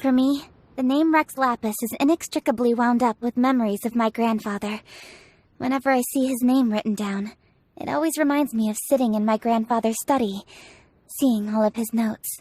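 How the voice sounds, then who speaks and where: A woman's voice: on the high side, normal speed, darkish tone, no echo, lightly acted. A woman voicing a dignified, reflective young female official in a video game, formal and composed, with articulate phrasing. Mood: reflective, nostalgic. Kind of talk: video game character dialogue. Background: music.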